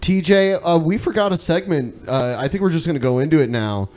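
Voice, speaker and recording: A man's voice: a little high, brisk, a little mellow. A man co-hosting a podcast, chatting casually and teasing. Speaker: podcast co-host. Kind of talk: casual, teasing panel chat.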